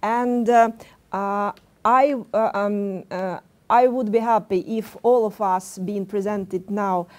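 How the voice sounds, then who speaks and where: A woman moderating a business panel, asking questions in halting speech with many fillers. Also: medium pitch, fairly even intonation.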